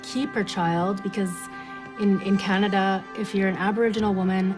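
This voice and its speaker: A woman's voice: mid-range voice, fairly even intonation, moderate pace. A woman artist being interviewed, speaking hesitantly with restarts. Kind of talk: casual interview. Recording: interview with clear background music.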